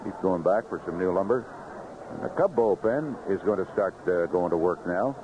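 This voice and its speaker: A man's voice: low voice, expressive, normal speed. An American man calling live baseball play-by-play on the radio. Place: ballpark broadcast booth. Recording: noisy, muffled vintage AM radio broadcast.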